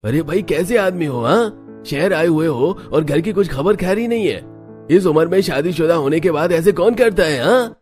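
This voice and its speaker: A man's voice: fairly high pitch, lively intonation, normal speed, a little mellow, faint music behind, close to an everyday voice. A man voicing a nosy, taunting village man in a cartoon story, mocking and scolding. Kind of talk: Hindi cartoon story acting.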